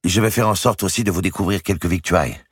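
A man's voice: low-pitched, quick pace, slightly rough, no echo, studio quality, pitched above the performer's own voice. A man voicing an eager, impetuous young Italian banker in a storybook reading. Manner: impetuous, exclaiming.

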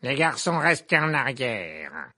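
Man playing a sharp, brusque old witch teacher. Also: mid-range voice, steady tone, fairly quick, no echo, clean studio sound. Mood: barking. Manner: barking, curt orders. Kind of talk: audiobook character voice.

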